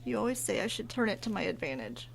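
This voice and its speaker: An actress playing a frustrated, disapproving wife of thirty in a horror audio drama. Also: female voice, medium pitch, very animated, fast talker, gravelly, slight background noise, rough recording. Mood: upset. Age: 30s. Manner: reproachful, emotional. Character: frustrated thirty-year-old wife, disapproving, easily upset friend.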